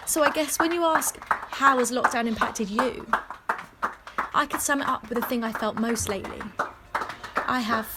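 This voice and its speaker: Lonely woman played by an actress, earnest reflective video diary, voice a little high. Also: normal speed, pauses often, heavy background noise, close to an everyday voice. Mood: tender, hopeful. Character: lonely but hopeful woman living alone in lockdown. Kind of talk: acted reflective diary monologue.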